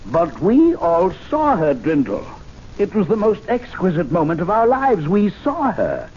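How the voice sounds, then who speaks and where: Condescending club president, very expressive. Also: male voice, sits high, full character voice. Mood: condescending, incredulous. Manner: measured, patronizing, probing. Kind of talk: old-time radio drama acting. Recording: muffled, noisy vintage radio recording.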